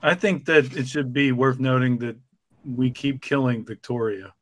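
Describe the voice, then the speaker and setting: A man's voice: mid-range voice, moderate pace. A man in casual chat as a podcast panel member, recorded through heavy noise. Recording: very noisy microphone with clicks.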